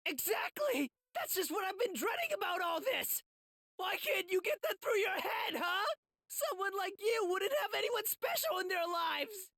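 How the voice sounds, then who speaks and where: A man voicing a cowardly teenage boy demon slayer in an anime game, whining and wailing frantically in a shrill, strained voice. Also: sing-song, moderate pace, pushed and bright, no room sound, studio quality, full character voice. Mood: indignant whining. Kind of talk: English anime game dub.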